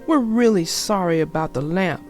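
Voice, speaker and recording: A woman's voice: lowish voice, expressive, normal speed, darkish tone, faint music behind, close to an everyday voice. A woman voicing a remorseful, earnest young brother bear cub in a storybook, apologetic in manner.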